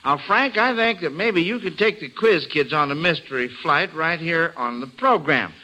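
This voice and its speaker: A man's voice: a little high, lively intonation, normal speed, bright, forceful tone, low-quality recording. A man hosting a classic radio quiz show for children, with folksy exclamations and theatrical scene-setting on an old broadcast recording.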